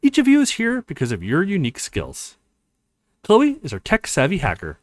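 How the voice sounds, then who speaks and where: An earnest young college man leading a scheme, voiced by a male let's player. His visual novel lines are explanatory, with a fairly high pitch that moves around a great deal. The sound is dry. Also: huge pitch swings, normal speed, no echo. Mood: earnest, planning.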